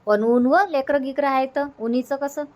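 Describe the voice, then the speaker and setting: High-voiced, homely Marathi village mother played by a woman. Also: fairly even intonation, moderate pace, a little mellow, natural voice. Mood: agreeable, planning. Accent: Varhadi Marathi. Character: chatty, practical village mother, middle-aged or older, organising an outing. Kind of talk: cartoon comedy dubbing.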